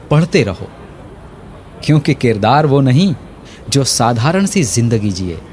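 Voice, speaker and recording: An actor playing an aging, remorseful scholarly father in a reflective radio drama, speaking pensively with halting, dramatic pauses. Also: mid-range voice, slight background noise. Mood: reflective, earnest. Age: older. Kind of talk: Hindi radio drama monologue, literary and reflective.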